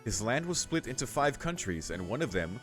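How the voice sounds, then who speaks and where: A man's voice: mid-range voice, very animated, fairly quick, soft background music. A man reading a visual novel aloud in a casual let's-play style, mixing narration with his own commentary.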